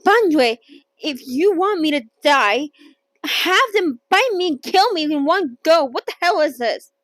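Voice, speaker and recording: A woman's voice: high voice, animated, unhurried, fairly bright tone, dry recording, pitched above the performer's own voice. A woman voicing an angry, defiant man in a fantasy novel read-along. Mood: angry, demanding.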